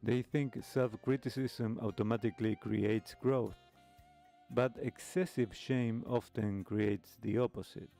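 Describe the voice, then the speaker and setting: Male talk host, very unhurried and mellow, reflective commentary in halting, measured phrases, music underneath. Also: medium pitch, steady tone, creaky. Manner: measured, halting phrasing with pauses between short clauses. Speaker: host of a live retro music talk show. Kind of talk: reflective commentary, reacting to a video. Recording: music playing behind the voice. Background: music with guitar.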